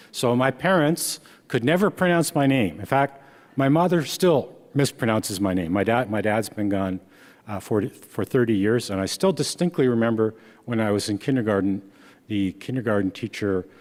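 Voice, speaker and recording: A man's voice: on the low side, darkish tone, long reverb tail. A man in his sixties from Ontario, Canada, whose first language is Dutch, giving a conversational author talk with frequent restarts. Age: 60s. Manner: conversational, halting with repeated restarts. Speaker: author and speaker. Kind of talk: book reading talk.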